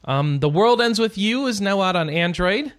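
A man's voice: high-pitched, expressive, moderate pace, fairly bright tone. A man hosting a casual podcast, reading out gaming news with rambling, sarcastic asides that trail off into mumbles.

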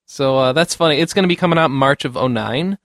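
A man's voice: fairly high pitch, fast talker, fairly bright tone, dry recording, studio quality. A man hosting a casual gaming news podcast, chatting informally and jokingly. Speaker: podcast host.

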